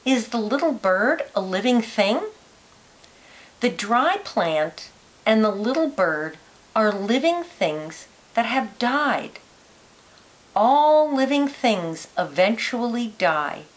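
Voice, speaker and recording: Slow, lilting woman reading to children. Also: a little high, sing-song. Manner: careful, deliberate phrasing for young listeners. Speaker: teacher reading a children's science picture book aloud. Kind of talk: read-aloud of a children's educational book.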